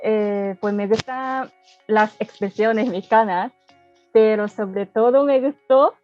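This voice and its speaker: A woman who is not a native speaker answers interview questions slowly and carefully. She pauses often and fills gaps as she searches for words. The sound is slightly dull and dry, with faint music behind. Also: mid-range voice. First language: not Spanish. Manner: careful, hesitant with many fillers. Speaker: guest, language learner.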